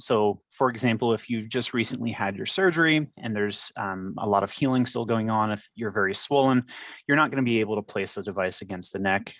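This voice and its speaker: A man's voice: mid-range voice, a bit bright, no room sound. A man explaining how to use a device step by step in an instructional presentation.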